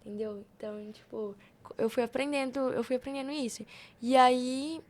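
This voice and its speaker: Young woman, Brazilian accent, higher register, informal storyteller, podcast guest. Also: moderate pace. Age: young adult. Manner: informal and slangy, retelling conversations in quoted dialogue. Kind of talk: casual personal storytelling.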